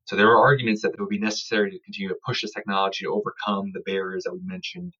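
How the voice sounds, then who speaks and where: A man's voice: fairly low pitch, very fast, sharp tone, lo-fi sound. A man explaining research in an interview with formal, careful, technical phrasing. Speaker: surgical researcher interviewed as study author.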